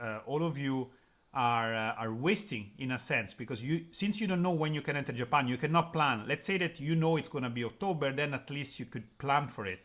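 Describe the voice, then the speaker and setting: A man's voice: medium pitch, fairly bright tone. Man hosting an interview channel. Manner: friendly, hesitant with frequent uh fillers, non-native phrasing. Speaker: YouTube channel host, interviewer. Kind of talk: video call interview.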